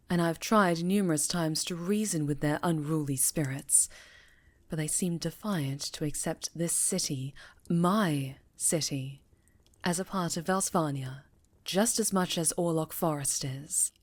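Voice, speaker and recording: A woman voicing a dignified, guarded leader of a witches' coven in an ASMR fantasy roleplay, formal, measured and earnest. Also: fairly low pitch. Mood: earnest, weary.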